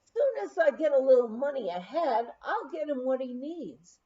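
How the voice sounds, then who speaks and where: A woman's voice: high-pitched, big pitch swings, normal speed, mellow. A woman voicing a defensive, hard-drinking barfly mother who grumbles in a folksy way, in a memoir reading, slightly muffled. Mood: defensive, grumbling.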